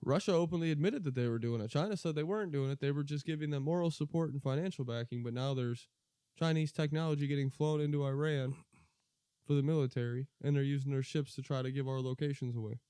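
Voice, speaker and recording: A man's voice: medium pitch, quick pace, warm tone, smooth, no echo, studio quality. An American man co-hosting a podcast, chatting casually about politics in a blunt, profane, opinionated way. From United States. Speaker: podcast co-host.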